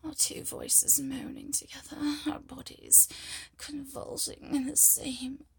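A British woman whispering intimately in a slow, breathy murmur, in an erotic audio style. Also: high voice, flat delivery. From United Kingdom.

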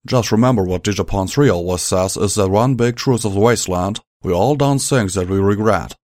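A man voicing a rough-spoken young male pony with a drawl, strained and emotional. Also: fairly low pitch, fairly quick, darkish tone, no room sound, studio quality, lightly acted.

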